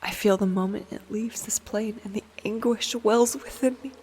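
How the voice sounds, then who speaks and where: A woman playing a haunted, bitter undead warrior, narrating an audio drama in a hushed, solemn, dramatic manner. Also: medium pitch, steady tone, normal speed, breathy edge, close to an everyday voice. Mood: anguished. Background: music.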